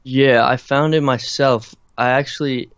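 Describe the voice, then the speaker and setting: A young man from Ireland with a slight Irish accent, answering interview questions in a halting, casual way, full of fillers and restarts. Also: mid-range voice, steady tone, clear, resonant tone, dry recording. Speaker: interviewed musician. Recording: dry, muffled lo-fi recording.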